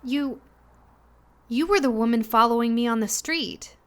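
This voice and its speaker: Casting: defiant young female passenger, fairly high voice, wary and confrontational, audio drama. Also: a little slow, fairly bright tone. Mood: alarmed, suspicious. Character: weary, distrustful, defiant young woman passenger.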